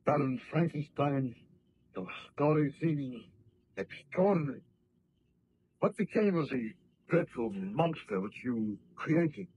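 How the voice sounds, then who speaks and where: A man playing a courteous, inquisitive ship's captain, measured and formal, in a 1930s radio play. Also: medium pitch, animated, slightly rough, narrow, tinny audio. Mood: curious, grave. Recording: noisy vintage 1930s radio broadcast.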